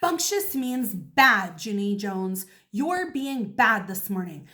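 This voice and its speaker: A woman voicing a bossy, tattling schoolgirl in a storybook, loud, punchy and taunting. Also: medium pitch, big pitch swings, takes it slowly, exaggerated voice. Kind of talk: children's storybook read-aloud.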